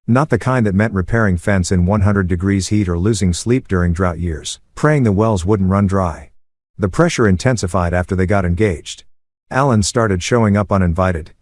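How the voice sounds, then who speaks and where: Low-voiced male story narrator, dry close sound. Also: a little mellow, dry recording. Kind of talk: first-person story narration.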